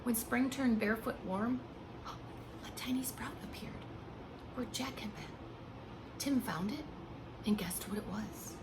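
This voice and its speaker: A woman's voice: on the high side, a little slow, pauses often. Woman reading to young children, noisy recording. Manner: expressive storytelling with hushed, breathy emphasis; simple explanations and questions addressed to small children. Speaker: pre-K teacher. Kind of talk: read-aloud of a children's picture book with chatty asides to young listeners.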